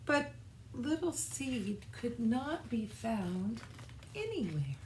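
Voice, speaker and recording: A woman reading a children's storybook aloud in an expressive, deliberate way, as scripted narration. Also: fairly high pitch. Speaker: children's storybook reader, church music director. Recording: roomy echo, faint background noise.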